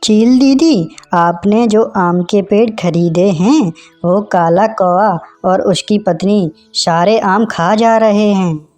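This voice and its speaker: A woman's voice: medium pitch, slow pace, hardly any pauses, a little mellow, faint music behind, natural voice. A woman voicing a meek, earnest male parrot who talks like a respectful younger brother in a cartoon story. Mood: reporting, concerned. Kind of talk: Hindi moral-story cartoon dialogue.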